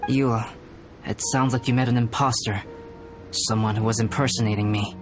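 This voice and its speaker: A young male alchemist played by an actor in a video game. He talks at a middling pitch with measured, scholarly precision and formal composure, over clear background music. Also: fairly even intonation, lightly acted. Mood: calm, analytical. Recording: music behind the voice.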